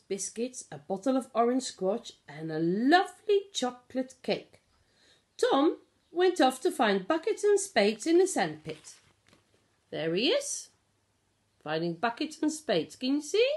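A middle-aged woman reading a picture book aloud to young children, explaining and asking simple questions in an expressive way. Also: a little high. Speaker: pre-school storyteller. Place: small living room at home. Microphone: phone or tablet at about arm's length.

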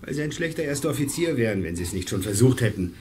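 Man acting an assertive, fast-talking shrewd passenger. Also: medium pitch, sing-song, darkish tone, a little hiss or hum, close to an everyday voice. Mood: dry, challenging. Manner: probing, dry, confident. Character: assertive, shrewd male passenger challenging authority. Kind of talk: modern German radio crime drama acting.

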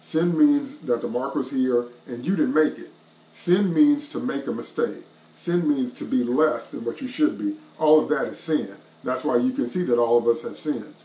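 A middle-aged man delivers an online sermon with an emphatic, repeating preacher's cadence. He speaks into a laptop webcam from a small wood-paneled room. The sound carries a roomy echo around his mid-pitched voice. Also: normal speed, warm tone.

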